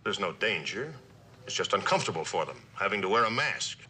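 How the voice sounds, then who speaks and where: An actor playing an unflappable senior Air Force officer in a vintage television drama, brisk and authoritative. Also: male voice, sits low, very animated, sharp tone, full character voice. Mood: calm authority. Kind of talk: 1960s television drama acting. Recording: noisy old drama soundtrack.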